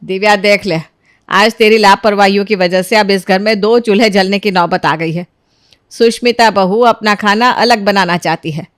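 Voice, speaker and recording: A woman's voice: medium pitch, moderate pace, no room sound, lightly acted. An exasperated older mother-in-law from a family storybook , as a woman narrator plays her. She berates and laments like a worn-out head of the household, in a dry, close recording. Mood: weary, fed up.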